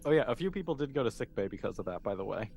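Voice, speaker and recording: Informal male game master, medium pitch. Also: animated, rapid-fire, creaky, a little mellow, husky. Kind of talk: casual game mastering, calling for rolls.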